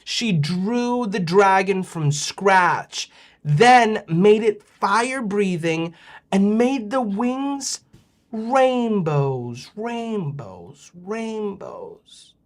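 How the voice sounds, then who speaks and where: A man hosting a children's show, joking and reacting with exaggerated excitement. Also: sits high, extremely slow. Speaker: host of a kids' show. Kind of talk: playful, animated hosting.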